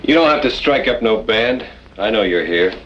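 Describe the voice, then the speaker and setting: An actor playing a terse, stubborn, weary cattle rancher with an American Western accent, dry in manner, in an old-time radio western. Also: male voice, lowish voice, lively intonation, normal speed, fairly bright tone. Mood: hard, challenging. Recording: dull, hissy old-time radio recording.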